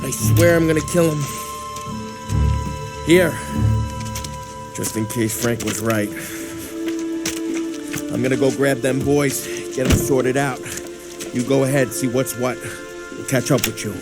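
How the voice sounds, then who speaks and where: A man voicing a brash, crude-mouthed mobster in a game cutscene. He jokes in tough street slang at a middle pitch. Loud music plays behind him. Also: measured pace, pauses often, natural voice. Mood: teasing. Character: brash wisecracking male mobster, middle-aged. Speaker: gangster. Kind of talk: video game cutscene acting.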